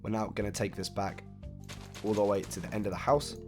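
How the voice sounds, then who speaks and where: A man with a fairly low, croaky voice, raspy from a cold, explains a build at an easy, chatty pace. He counts blocks aloud and shrugs off his own mistakes. Soft music plays quietly underneath. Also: fairly even intonation, brisk. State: hoarse, croaky after a cold. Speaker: video game building tutorial host. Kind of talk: casual step-by-step gameplay walkthrough. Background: faint background music.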